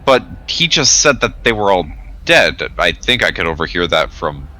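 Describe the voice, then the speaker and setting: Lively, bright-voiced male game player. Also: sits low, normal speed, a little hiss or hum. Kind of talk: casual in-character play and chat.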